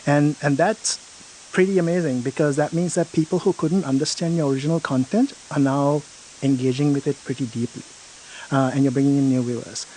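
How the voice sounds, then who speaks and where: A man's voice: a little high, steady tone, a little fast, warm tone, a little hiss or hum, poor audio. A man in an interview explaining a product feature step by step, often checking in with "right?".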